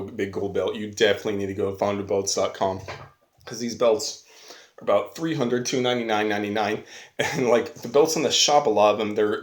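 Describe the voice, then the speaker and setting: A man giving a casual, unscripted video review, rambling enthusiastically in slangy everyday language, recorded on a phone. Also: fairly low pitch. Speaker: online video host, reviewer. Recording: roomy echo on phone camera.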